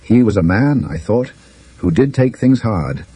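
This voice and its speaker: A man with a British accent voicing a mature, companionable, mildly flippant gentleman in a storybook tale, in a breezy conversational way. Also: on the low side, lively intonation, unhurried, husky. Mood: musing.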